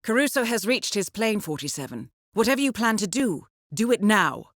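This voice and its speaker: An actress playing a composed, coolly professional intelligence handler who guides an assassin in a video game, measured and dryly wry. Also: female voice, medium pitch, big pitch swings, no echo, studio quality. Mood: serious, briefing. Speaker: mission handler. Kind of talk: scripted video game mission briefing.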